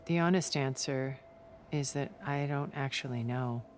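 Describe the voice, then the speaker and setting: A woman playing a self-assured, refined older schemer in a video game cutscene, speaking in a measured, articulate, deliberate way. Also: very deep voice, a little mellow, a little hiss or hum. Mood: reflective, wistful. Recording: background music under the dialogue.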